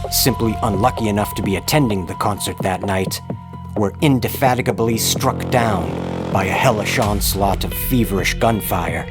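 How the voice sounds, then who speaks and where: A man giving a grave, theatrical scripted narration in the style of a true-crime horror tale. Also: on the low side. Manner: grave, florid, theatrical delivery. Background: music bed.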